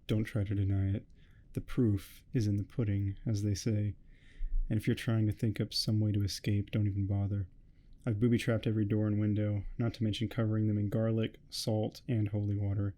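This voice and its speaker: Guy doing an asmr obsessed creepy neighbor who wants to be a vampire, super soft dark tone, pretty even pitch, theatrical and menacing. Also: close to an everyday voice. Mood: smug, accusing. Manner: theatrical, grandiose monologuing, smugly threatening. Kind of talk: ASMR roleplay, dramatic monologue.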